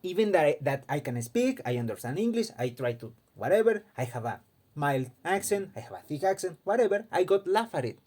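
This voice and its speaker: A man's voice: fairly high pitch, very animated, fairly quick, a little mellow. A man from Mexico, giving an impassioned casual opinion monologue and often repeating words as he searches for them. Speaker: fighting game commentator.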